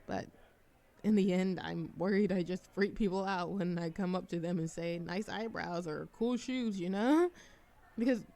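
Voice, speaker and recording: A woman's voice: on the low side, normal speed, mellow, natural voice. Woman acting as a shy, rambling, bookish young woman. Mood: awkward, musing. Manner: nervous giggles, hesitant, self-deprecating rambling. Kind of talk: roleplay ASMR audio drama, conversational monologue.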